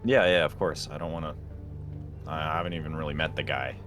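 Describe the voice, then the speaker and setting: An awkward, easygoing guy played by a man in an improvised game roleplay. His voice is low, and he stammers and hedges casually over clearly audible music. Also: steady tone, fast. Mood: unsure.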